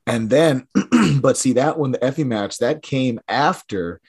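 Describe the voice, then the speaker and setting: A man co-hosting a podcast in casual chat, his voice raspy from a weekend of yelling, rambling and trailing off into mumbles. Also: medium pitch, animated, a little slow. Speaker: podcast co-host.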